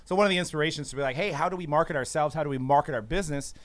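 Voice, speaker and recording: A man's voice: on the high side, very fast, no echo. A man co-hosting a casual hobby podcast from a studio, upbeat and laughing easily, with frequent filler sounds. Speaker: podcast co-host.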